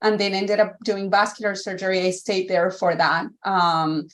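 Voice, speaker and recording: A woman's voice: mid-range voice, flat delivery, normal speed. Chatty woman guest from Colombia. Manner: warm, chatty, frequent fillers. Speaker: guest, vascular surgeon. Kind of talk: casual interview.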